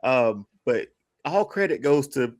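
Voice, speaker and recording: A man's voice: mid-range voice, sing-song, takes it slowly, a little mellow. A man chatting casually as a podcast panelist, slangy and emphatic, often repeating his phrases for weight.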